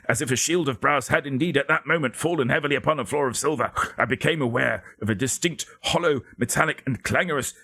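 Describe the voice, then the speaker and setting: A man narrating a gothic story as a dramatic reading, theatrical and measured, with deliberate phrasing. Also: mid-range voice, vocal fry, bright, forceful tone, breathy edge.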